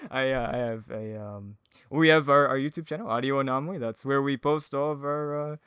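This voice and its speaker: Male podcast guest, mid-pitched, rambling and self-effacing in casual chat, dry recording. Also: moderate pace, fairly bright tone, clean tone, no echo. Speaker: podcast guest, audio drama creator.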